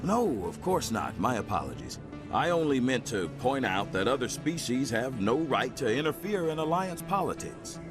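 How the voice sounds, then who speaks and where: A man's voice: mid-range voice, sing-song, normal speed, music in the background, lightly acted. A man playing a self-important nationalist politician in a video game, formal and oratorical as he courts votes. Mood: insistent.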